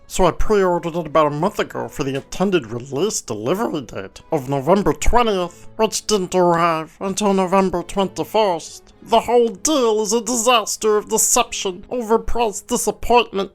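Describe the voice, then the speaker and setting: A woman's voice: medium pitch, normal speed, few pauses. A woman giving a dramatic reading of a written review. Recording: music behind the voice. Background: background music.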